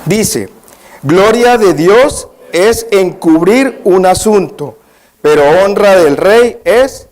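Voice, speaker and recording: A man's voice: sits high, lively intonation, slow, a little mellow. A man preaching as a guest in a church, at first nervous, then emphatic, often putting questions to the congregation. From Colombia. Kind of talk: church sermon.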